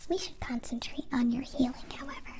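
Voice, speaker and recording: A woman playing a gentle, naive alien friend in an ASMR roleplay, whispering breathily with careful, stilted formal phrasing. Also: sits high, a little fast, creaky. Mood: caring, helpful.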